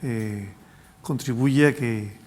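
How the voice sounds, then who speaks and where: A man's voice: mid-range voice, slow pace, a little mellow. A man, a literary critic on a discussion panel, speaking reflectively and checking in with others. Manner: reflective, asks tag questions.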